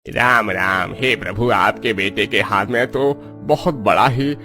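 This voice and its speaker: A man's voice: lowish voice, unhurried, music in the background, lightly acted. A man playing a grave astrologer priest in a moral story, solemn and foreboding. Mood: ominous.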